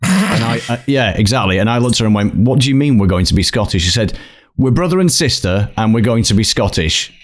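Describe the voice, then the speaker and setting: A man with a lively, swooping pitch that rises and falls as he tells a story. He hosts a casual podcast chat and talks with relaxed, chatty ease. His manner is storytelling, and he throws in bits of a mock Scottish voice. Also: fast. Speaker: podcast host. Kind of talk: casual banter.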